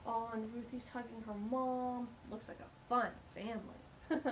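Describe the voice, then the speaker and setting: A woman's voice: on the high side, fairly even intonation, a little slow, slight background noise, low-quality recording. A woman hosting a children's storytime, reading a picture book aloud with gushing, exclamatory asides.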